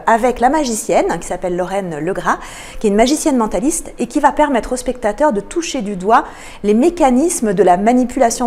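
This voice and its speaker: A woman giving explanatory answers as a radio interview guest, often pausing between phrases. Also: a little high, darkish tone. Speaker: interview guest, cultural programme manager.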